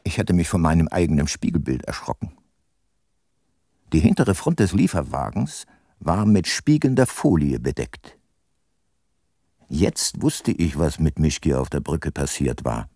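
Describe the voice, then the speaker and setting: An actor playing a world-weary private detective who narrates his case soberly in a crime radio drama, with a husky voice. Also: very deep voice, big pitch swings, measured pace, long pauses, warm tone, no room sound, studio quality, exaggerated voice. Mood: sober, reflective.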